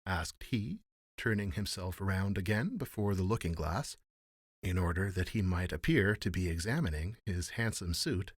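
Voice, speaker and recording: Male fairy-tale narrator, lowish voice, theatrical and expressive, close dry recording. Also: normal speed, breathy edge, no room sound. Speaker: storyteller.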